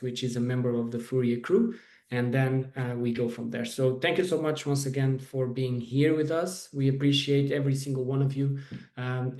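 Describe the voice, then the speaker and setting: A man's voice: medium pitch, a little mellow. A man hosting an online webinar, giving an informal welcome to the audience. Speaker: webinar host. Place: indoor room on online webinar call. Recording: roomy, echoey sound.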